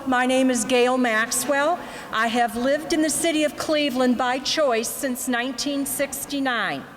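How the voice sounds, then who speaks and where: A woman's voice: sits high, a bit bright. An older woman volunteering on a commission, giving a direct, earnest statement. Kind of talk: formal public statement. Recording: echoey hall with faint noise.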